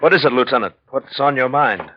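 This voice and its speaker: An actor playing a nervous, evasive male painter, halting and defensive, in a 1940s radio drama. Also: medium pitch, animated, a little hiss or hum, lightly acted. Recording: dull 1940s radio recording.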